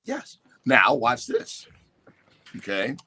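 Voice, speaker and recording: A man's voice: medium pitch, takes it slowly, slightly rough. A man speaking as a guest on a talk show, lecturing emphatically and mimicking other people. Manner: animated, emphatic, quotes definitions and mimics others.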